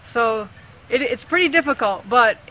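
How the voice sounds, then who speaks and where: A woman's voice: on the high side, animated, normal speed, bright, forceful tone, a little hiss or hum, low-quality recording. A woman working on rainforest protection, earnestly explaining things in simple words to young schoolchildren, often repeating words as she thinks aloud. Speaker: rainforest conservationist answering pupils' questions.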